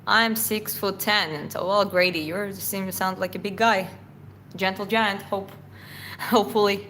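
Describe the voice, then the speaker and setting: A Georgian woman with an Eastern European accent, Georgian being her first language, chats through a viewer Q&A. Her voice sits at an ordinary middle pitch. She reads questions aloud and answers them with plenty of ums and restarts, with a faint background hiss. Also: steady tone, measured pace, fairly bright tone. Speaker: livestream host answering chat questions. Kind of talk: casual Q&A chat.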